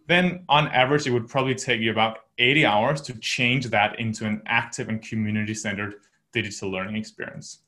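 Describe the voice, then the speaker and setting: A man's voice: on the low side, a bit bright. A man from Denmark, a company founder, giving earnest, explanatory answers as a podcast guest. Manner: earnest, explanatory, some restarts.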